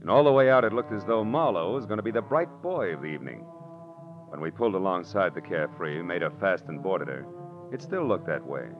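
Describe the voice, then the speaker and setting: An American actor playing a wry, hard-boiled private detective in 1940s radio drama style, clipped, tough and sardonic. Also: male voice, low voice, very animated, fairly quick, soft background music. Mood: wry narration. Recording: muffled, hissy old radio recording.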